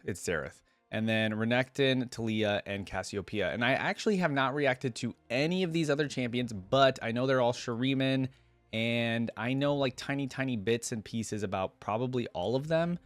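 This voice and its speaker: Male reaction-channel host, lowish pitch, casual commentator and reader, no room sound. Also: no room sound. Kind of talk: casual reaction commentary mixed with reading aloud.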